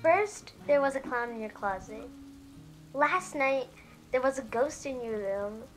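A young adult woman acting out a family skit and bedtime story in an expressive, theatrical way. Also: high voice, a little slow. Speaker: older sister, storyteller. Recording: roomy echo, faint music behind.

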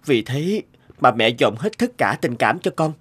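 A man voicing a dutiful but stifled young adult son in an animated moral tale, speaking earnestly and politely with a plaintive edge. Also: mid-range voice, a little slow, husky, exaggerated voice. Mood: downcast.

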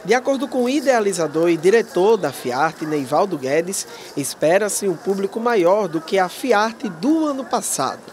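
Male reporter with lively voice-over. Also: sits high, normal speed, few pauses.

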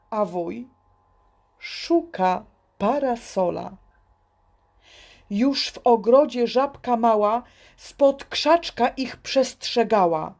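A woman reading a children's poem expressively, with theatrical, deliberate phrasing and pauses. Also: a little high, vocal fry, darkish tone. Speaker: reader.

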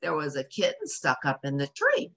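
A middle-aged woman tells a children's story from her desk at home. Her voice sits low but moves through a huge range of pitch, with drawn-out emphasis for young listeners. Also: breathy edge. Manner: animated, drawn-out emphasis, asks listeners questions. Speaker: storyteller, channel host. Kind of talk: expressive read-aloud for children. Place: small home office, indoors.

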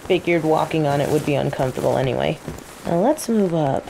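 A woman playing a cocky, teasing female mage who controls plants, in a scripted roleplay audio drama, with an unhurried, playful drawl. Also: sits low, vocal fry, low-quality recording. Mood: teasing, searching. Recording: steady rain ambience noise. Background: falling rain.

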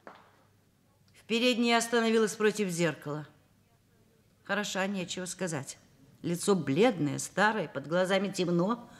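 An actress playing an overworked middle-aged professor and mother in a Soviet radio drama, expressive, wry and self-mocking. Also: mid-range voice, a little slow, long pauses, close to an everyday voice. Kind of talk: Soviet radio drama acting, first-person narration.

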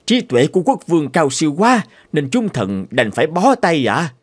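An actor playing an anxious, obsequious royal minister in a fairy-tale cartoon. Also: male voice, fairly high pitch, huge pitch swings, normal speed, husky, exaggerated voice. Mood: baffled, fawning. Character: flustered, fawning royal court ministers. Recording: music behind the voice.

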